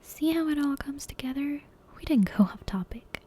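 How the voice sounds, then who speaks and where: A woman voicing a learned, fearless young lady astrologist in a breathy whisper for a bedtime story. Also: sits high, animated, moderate pace, slight background noise, pitched above the performer's own voice. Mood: knowing. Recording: soft background music and ambience.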